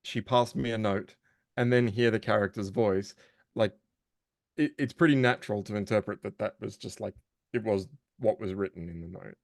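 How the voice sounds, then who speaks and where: A man with a low, gravelly voice talks about his work as an audiobook narrator and voice actor. He chats casually, feeling his way through his thoughts with lots of fillers and restarts. The sound is dry and close. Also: darkish tone, dry recording. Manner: halting, thinks aloud with many fillers. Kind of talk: casual interview chat.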